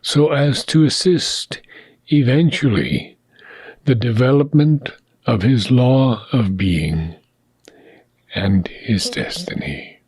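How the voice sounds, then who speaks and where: A man with a husky voice gives a slow, solemn audiobook reading. He phrases deliberately and pauses often, in a close, dry recording. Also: mid-range voice, lively intonation, darkish tone, dry recording. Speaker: reader of a spiritual philosophy book.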